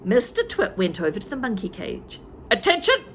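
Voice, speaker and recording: A teacher reading a children's book aloud, with chatty asides to young listeners. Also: female voice, medium pitch, wildly swooping pitch, moderate pace, creaky, slight background noise.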